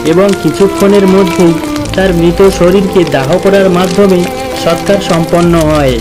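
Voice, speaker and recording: A man reads scripted story narration for a cartoon. His voice is notably high for a man. Music plays clearly underneath. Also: steady tone, normal speed.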